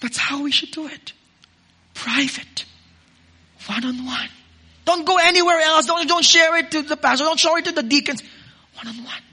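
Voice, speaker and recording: A man preaching a sermon as pastor, moving from loud urging to soft, close asides. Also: very high voice, moderate pace, pauses often.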